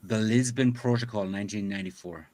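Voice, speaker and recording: A man with a lowish voice co-hosts a livestream chat. He is easygoing and quick to agree, and he drops casual curses as he talks through treaties and politics. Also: a little mellow. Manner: laid-back, agreeable, swears casually. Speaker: co-host.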